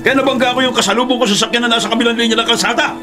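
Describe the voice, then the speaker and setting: A man's voice: extremely high pitch, steady tone, rapid-fire, over music. An actor playing a hot-tempered, bullying male motorist in a radio drama, with a brusque, blustering manner. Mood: furious. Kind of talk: Filipino radio drama acting.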